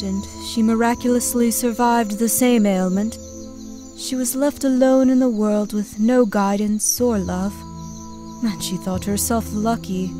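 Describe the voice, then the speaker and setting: A wistful goddess played by a woman speaks very slowly in a fantasy audio drama. Her fairly high voice is deliberate and fascinated, with music behind it. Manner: slow, deliberate storytelling. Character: wistful, fascinated goddess with double-edged gifts.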